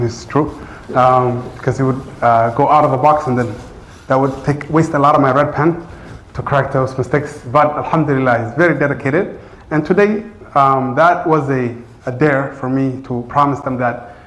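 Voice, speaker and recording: A man who teaches Arabic, speaking English as his first language, gives an earnest, loose talk to his class with many fillers and restarts. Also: mid-range voice. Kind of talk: informal address to a class. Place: large room in a community center. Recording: roomy echo.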